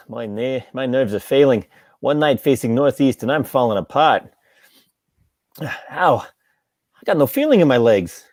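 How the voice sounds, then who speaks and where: A woman's voice: very deep voice, expressive, a little slow, long pauses, a little mellow, no echo, studio quality, full character voice. A woman acting a sickly, self-pitying hypochondriac who groans and sighs through a play table read. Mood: ailing, self-pitying. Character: sickly, complaining hypochondriac with failing nerves.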